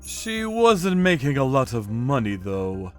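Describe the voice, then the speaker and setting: A man giving dramatic first-person narration as a calm, righteous, menacing vigilante in an animated manga story. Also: medium pitch, slow pace, soft background music. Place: studio voice-over with music behind.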